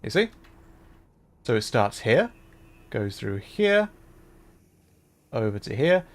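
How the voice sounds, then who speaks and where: A man's voice: mid-range voice, sing-song, takes it slowly. A man doing casual live gameplay commentary, thinking aloud and playfully repeating words as he goes. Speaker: VTuber game streamer.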